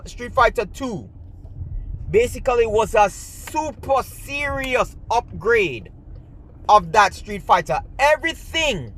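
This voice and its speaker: High-voiced Jamaican man ranting passionately. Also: a bit bright. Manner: passionate, heated, rambling with restarts. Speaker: gaming channel host. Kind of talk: casual ranting commentary to camera.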